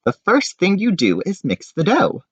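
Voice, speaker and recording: A man voicing a bossy, idle baker father in a picture book, giving orders in a drawn-out way. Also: high-pitched, wildly swooping pitch, breathy edge, pitched above the performer's own voice, exaggerated voice. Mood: instructing. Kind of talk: children's picture-book character voice. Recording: dull, roomy home recording.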